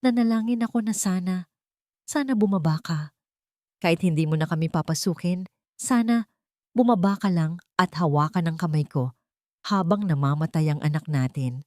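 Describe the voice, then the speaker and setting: A Filipino woman voicing a hurt, coldly resolute young wife in an audio romance, speaking in a calm, bitter, measured manner. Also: on the low side, no room sound, studio quality, natural voice. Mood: bitter grief.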